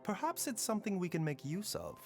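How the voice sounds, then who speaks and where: Game scholar: articulate, analytical, composed, widely varying pitch, music behind. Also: male voice, very animated, brisk, gravelly, heavily acted. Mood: calm, thoughtful. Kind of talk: scripted video game dialogue.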